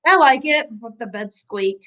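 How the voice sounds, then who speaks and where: A woman's voice: a little high, expressive, unhurried, a bit bright. Casual woman on muffled lo-fi chat.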